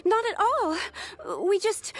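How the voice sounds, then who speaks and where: Eager, very high-voiced young clerk. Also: female voice, huge pitch swings, takes it slowly, soft background music, full character voice. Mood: flustered, deferential. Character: overworked, eager young female office clerk. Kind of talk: video game voice acting.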